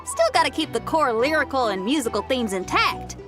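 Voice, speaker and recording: A woman's voice: sits high, a little fast. Upbeat slangy rock musician girl. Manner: slangy, energetic, laid-back talk. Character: upbeat young woman rock musician, rebellious and breezy. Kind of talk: video game character dialogue. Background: game music.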